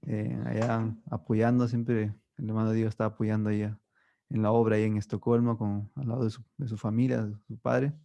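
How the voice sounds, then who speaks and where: A man's voice: fairly low pitch, normal speed, creaky. A man hosting a faith podcast in casual chat, hesitant and full of fillers. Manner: relaxed, hesitant, many fillers like "bueno" and "¿no?". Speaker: podcast host.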